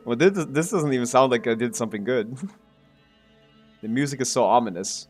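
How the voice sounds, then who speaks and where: A man's voice: medium pitch, brisk, a little mellow. A man streaming a game with casual, rambling, self-questioning commentary. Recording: stream with game music behind.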